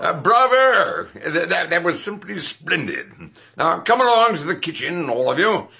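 An actor playing a hearty, hospitable country host in a radio drama, with a gravelly voice. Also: male voice, sits high, very animated, a little slow, sharp tone, faint music behind, heavily acted. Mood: jovial.

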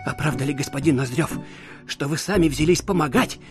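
A man's voice: fairly high pitch, brisk, over music, close to an everyday voice. A man playing an inquisitive, gossipy provincial official in a theatrical audio drama. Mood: prying.

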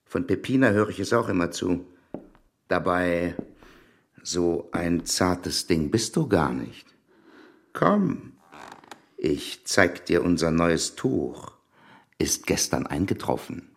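An actor playing a middle-aged, smooth-talking and insistent shopkeeper in a German radio play, familiar and coaxing in manner. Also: male voice, deep voice, very animated, takes it slowly, pauses often, full character voice.

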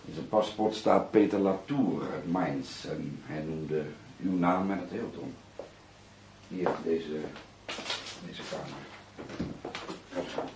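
An actor playing a courteous hospital staff man in a radio play, formal, measured and matter-of-fact. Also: low voice. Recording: echoey room, clear background noise.

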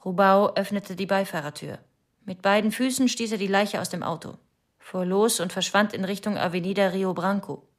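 An actress as a shaken female witness in a radio crime drama. She reports in bleak, sober sentences, with a medium-pitched voice. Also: fairly even intonation, moderate pace, lightly acted. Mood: bleak, factual. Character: shaken woman secretly tailing a killer, bleakly factual. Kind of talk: first-person radio play narration.

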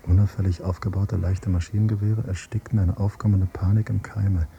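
A male actor playing a grown son, a teacher, who questions and narrates in a measured, probing way in a German radio drama. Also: low-pitched, flat delivery, creaky, dark tone. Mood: sober, detached. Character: accusing grown son, a teacher probing his father's past.

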